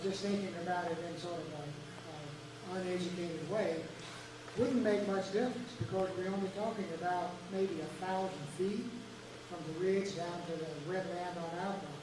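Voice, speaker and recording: Soft-spoken man asking from the floor. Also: sits high. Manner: rambling, thinking aloud with many fillers. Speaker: audience member at public meeting. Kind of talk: public meeting question. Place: large echoey meeting hall. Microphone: far from the microphone. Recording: echoey hall, distant mic, noisy.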